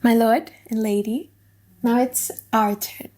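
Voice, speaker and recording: A woman playing a boisterous young gentleman in love, chatty and bragging, in a dramatized audiobook. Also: fairly high pitch, steady tone, slow. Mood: jovial, eager. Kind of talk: dramatized audiobook of a classic play.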